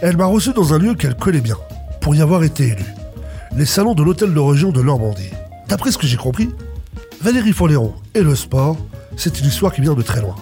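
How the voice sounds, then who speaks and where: A man's voice: fairly high pitch, sing-song, a little fast, dark tone, faint music behind. A man with an accent from France, hosting a radio portrait and reading scripted narration. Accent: French from France. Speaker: radio host and interviewer.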